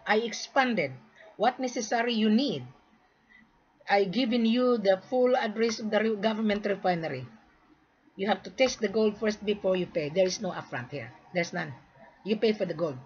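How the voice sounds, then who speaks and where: A woman from the Philippines making a repetitive, insistent sales pitch, lecturing the listener in a direct-to-camera talk. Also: slightly rough. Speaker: gold sales marketer. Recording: dull lo-fi recording.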